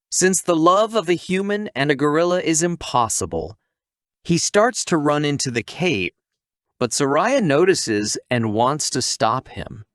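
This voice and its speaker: A man's voice: fairly high pitch, fairly bright tone, no room sound, clean studio sound. A man narrating a scripted comic story recap in a conversational, wisecracking way, with asides to the listener.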